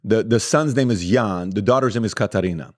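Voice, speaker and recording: A man in his forties from Iran, a native Assyrian speaker, telling stories as a podcast guest in a studio, animated and joking. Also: on the low side. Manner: animated storyteller, quick asides and self-deprecating jokes. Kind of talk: casual interview storytelling. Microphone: studio mic with headphones.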